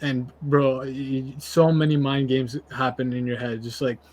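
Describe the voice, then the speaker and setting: A man's voice: medium pitch, level tone, moderate pace, a little mellow. A young man hosting a podcast, chatting informally and emphatically with plenty of slang. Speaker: podcast host. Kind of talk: casual chat.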